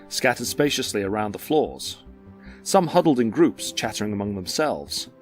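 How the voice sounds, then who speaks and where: A man narrating a dramatic story from a script in a measured, descriptive way. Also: fairly low pitch.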